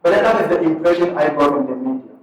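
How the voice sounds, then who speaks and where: A man with a Ghanaian accent, an imam and missionary, addressing a community event in measured, halting phrases. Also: on the high side, expressive. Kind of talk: public address at a community giveaway. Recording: echoey hall, far from the mic.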